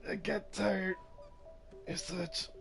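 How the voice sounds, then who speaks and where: A man live streaming a game with casual, informal commentary, muttering to himself between louder reactions. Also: high voice, little pitch movement, takes it slowly, gravelly, over music.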